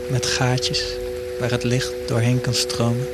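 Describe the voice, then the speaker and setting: A man narrating a poetic audio drama, reading slowly and with measured pauses over loud music. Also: mid-range voice, monotone. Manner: slow, measured poetry reading.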